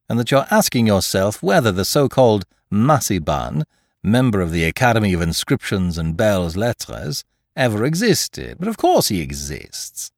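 A man reading aloud as a cocky, playful gentleman thief who teases and mocks in a theatrical way. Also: vocal fry, dry recording, clean studio sound, exaggerated voice. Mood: teasing. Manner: teasing, theatrical swings in pitch. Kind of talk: audiobook character reading.

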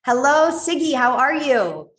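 A woman's voice: high voice, big pitch swings, measured pace, fairly bright tone, roomy sound. A young adult woman hosting a podcast in an upbeat, enthusiastic interviewing manner. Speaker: podcast host. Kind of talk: casual interview.

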